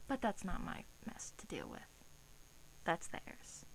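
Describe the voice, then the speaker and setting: A woman playing a sweetly menacing, teasing vampire in a soft, breathy ASMR roleplay, often dropping into a whisper, coaxing and condescending. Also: fairly low pitch, huge pitch swings, slow pace, full character voice, low-quality recording. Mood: soothing, clinical. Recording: faint hiss.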